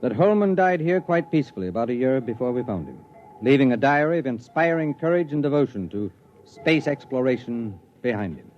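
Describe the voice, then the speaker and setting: A man portrays a pragmatic, weary commander of a spaceship in a vintage radio drama. He is wry and matter-of-fact in a medium-pitched voice. The recording is dull with faint noise. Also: expressive, fairly quick, a little mellow, close to an everyday voice. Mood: weary, musing. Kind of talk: 1950s radio drama acting.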